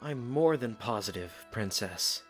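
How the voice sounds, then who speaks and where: Young knight, played by a man: devoted, modest, teasing, very animated intonation, casual delivery, music underneath. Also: fairly low pitch, unhurried. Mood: reassuring. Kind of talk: fan audio drama acting.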